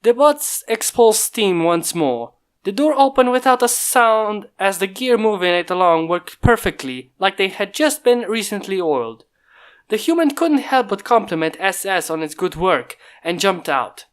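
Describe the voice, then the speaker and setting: An amateur reader narrates a fan-fiction chapter at an even storytelling pace, voice neither high nor low. The home microphone is failing and lets through occasional pops. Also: normal speed, clear, resonant tone. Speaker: fanfiction reader.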